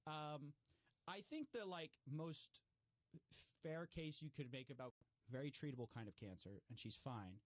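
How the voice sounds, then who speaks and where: A man's voice: a little high, unhurried, no echo. Casual man explaining things, dry close sound. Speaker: podcast guest, investigative journalist.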